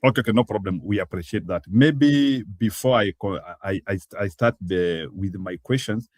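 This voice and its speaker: Kenyan male host, very deep voice, dry close sound, hesitant with fillers, on a video call. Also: normal speed, darkish tone, no room sound. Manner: hesitant, many fillers and restarts. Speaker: online show host. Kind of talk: casual talk show interviewing.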